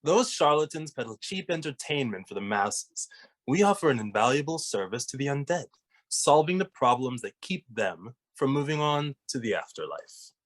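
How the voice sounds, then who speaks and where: A man acts out a cartoon detective of thirty, intellectual and haughty. The voice is mid-pitched, with formal, pompous phrasing fit for a comedy script. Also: big pitch swings, normal speed. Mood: haughty, indignant. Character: intellectual, haughty thirty-year-old detective twin brother. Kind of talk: animated comedy script table read.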